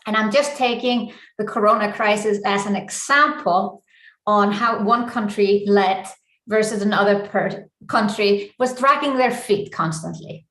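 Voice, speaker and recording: A woman with an Icelandic accent leads a live online coaching presentation, speaking deliberately in short, emphatic phrases. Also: medium pitch, a bit bright. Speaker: business coach and session host. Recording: echoey room.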